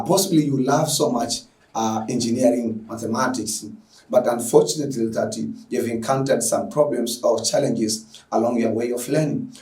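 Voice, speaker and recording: A man's voice: medium pitch, dark tone, reverberant, recorded from a distance, rough recording. A man from Tanzania giving an effusive, filler-heavy welcome as an online lecturer. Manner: effusive, repetitive, with frequent fillers. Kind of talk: lecture introduction.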